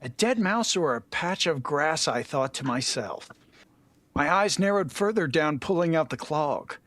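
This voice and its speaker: A man's voice: on the high side, lively intonation, unhurried, fairly bright tone, no echo. A man bantering casually and narrating stories, with a jokey way of dropping in asides. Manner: jokey, interjects asides while reading. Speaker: fan fiction reader and show regular.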